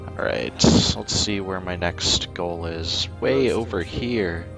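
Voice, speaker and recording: A man with a low voice chats casually while livestreaming his game, talking himself through each step in a wry, laid-back way. Game music plays faintly behind him. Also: takes it slowly, creaky. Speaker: livestreamer playing a video game. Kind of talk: casual gaming livestream commentary. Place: indoors at a computer.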